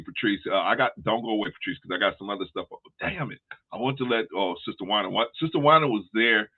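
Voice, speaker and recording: A man hosting a casual livestream over a video call, relaxed and reassuring, calling his guests brother and sis. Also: fairly low pitch. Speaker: livestream host. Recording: tinny phone-like call audio.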